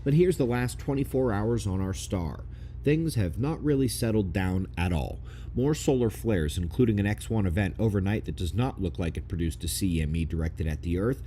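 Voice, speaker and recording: Man, lowish mellow voice, online update presenter, morning narration, faint background noise. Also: lively intonation, moderate pace. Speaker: space weather presenter.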